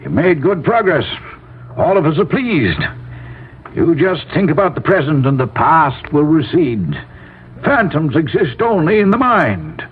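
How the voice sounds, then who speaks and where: An actor playing a fussy, reassuring sanitarium doctor in an old radio drama, with a halting, avuncular manner. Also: male voice, fairly high pitch, big pitch swings, slightly rough, full character voice. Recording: narrow-band old radio with faint hiss.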